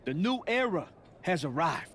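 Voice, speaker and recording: An actor playing a smug, cryptic wrestling villain in a video game cutscene. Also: male voice, fairly high pitch, very animated, moderate pace, fairly bright tone, slight background noise.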